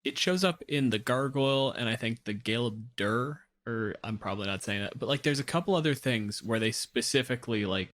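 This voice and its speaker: A man's voice: medium pitch, fairly quick, few pauses, fairly bright tone. A man on a discussion podcast, explaining and analysing in a casual, conversational style. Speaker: podcast panelist.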